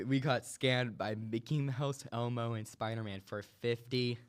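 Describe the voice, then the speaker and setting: A man's voice: mid-range voice, fairly even intonation, measured pace, smooth. A young man hosting a casual comedy chat, laughing often and rambling with many fillers. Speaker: host.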